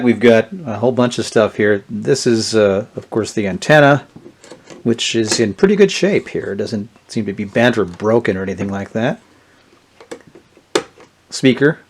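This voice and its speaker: A man giving a casual, unscripted hands-on product walkthrough in a plainspoken, explanatory manner. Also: mid-range voice. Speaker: gadget reviewer. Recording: clear background noise and clicks.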